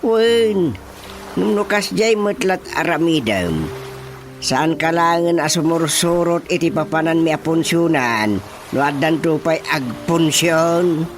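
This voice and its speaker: Man acting a high-pitched, grumbling Filipino village father. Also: animated, slow pace. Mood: scolding. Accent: Ilocano Filipino. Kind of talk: Ilocano radio drama comedy acting. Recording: radio drama with music underneath.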